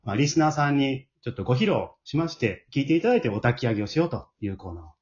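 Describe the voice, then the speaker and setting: Male host, Kansai accent, brisk and animated, polite chatty delivery, dull lo-fi call sound. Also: medium pitch. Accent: Kansai Japanese. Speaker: host of an amateur radio drama group's talk show. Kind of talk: casual hosted group chat. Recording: dull lo-fi online call audio.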